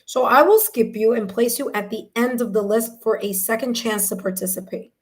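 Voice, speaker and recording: A woman hosting a live tarot reading stream, slightly hoarse, chatty and repetitive, checking in with "okay?" as she talks to viewers. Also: a little high, normal speed. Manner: chatty, repeats herself, tags sentences with "okay?".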